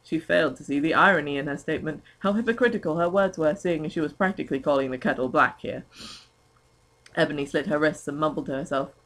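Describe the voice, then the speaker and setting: A woman's voice: sits low, fast, fairly bright tone, low-quality recording. A young woman giving a comic, mock-dramatic amateur reading of fan fiction, prone to giggling.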